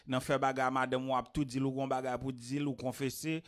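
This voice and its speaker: Haitian guy hosting, thirties, lots of ehs, mid pitch. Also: level tone, brisk. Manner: hesitant questions full of fillers. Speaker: host. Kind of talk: interview questioning.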